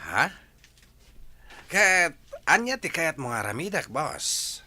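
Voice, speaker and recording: A man playing an eager, money-minded henchman in an Ilocano radio drama, fawning and excitable. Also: fairly high pitch, takes it slowly, full character voice. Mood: curious, deferential. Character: eager mercenary henchman, servile to his boss. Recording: faint background noise.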